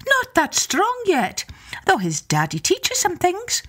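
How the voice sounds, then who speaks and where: A woman voicing a clever, cheerful giant's wife in a high, sing-song voice. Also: wildly swooping pitch, takes it slowly, a bit bright, exaggerated voice. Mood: coy.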